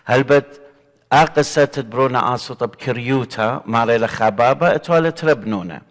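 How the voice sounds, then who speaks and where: Earnest middle-aged deacon, echoing hall. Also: male voice, mid-range voice, a little fast. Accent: Assyrian. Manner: earnest, gesturing, expressive preaching. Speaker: deacon teaching scripture. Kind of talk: bible study teaching. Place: indoor studio before a painted church backdrop.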